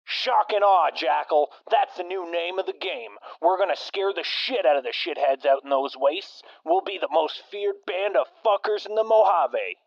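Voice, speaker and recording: A man's voice: high-pitched, animated, normal speed, creaky, few pauses, dry recording, full character voice. A man playing a cocky, menacing raider boss who taunts and boasts, in dull lo-fi sound. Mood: swaggering.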